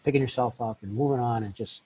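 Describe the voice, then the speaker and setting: A middle-aged man, a life coach, telling his story in an interview, often jumping into lively quoted voices. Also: fast, a little hiss or hum, poor audio. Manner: acts out quoted voices with big pitch jumps. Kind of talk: animated storytelling in a podcast interview.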